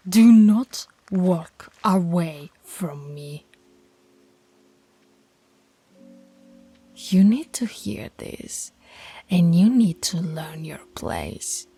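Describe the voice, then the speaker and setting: A woman voicing a dominant, stern alpha werewolf pack leader in an ASMR roleplay, scolding and taunting in a commanding, deliberate way. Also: lowish voice, very animated, dark tone, husky, soft background music, heavily acted.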